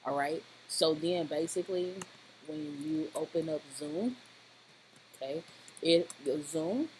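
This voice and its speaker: Halting tutorial presenter over noisy audio. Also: mid-range voice, a little mellow. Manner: rambling, halting, often checking with "okay?". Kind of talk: informal screen-recorded software tutorial, talking through steps. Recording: clearly noisy home-style voice-over recording.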